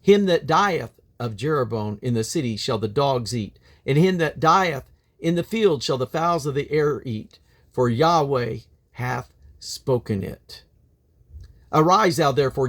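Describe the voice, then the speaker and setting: A man reading from the King James Bible in a solemn, deliberate manner, with emphatic phrasing and drawn-out endings. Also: medium pitch. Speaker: Bible reader.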